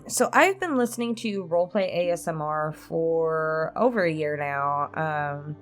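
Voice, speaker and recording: A woman chatting casually and informally to her viewers as a host, thinking aloud as she goes. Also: on the low side. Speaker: host, roleplay ASMR voice actor. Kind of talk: casual chat to viewers in a pre-recorded Q&A.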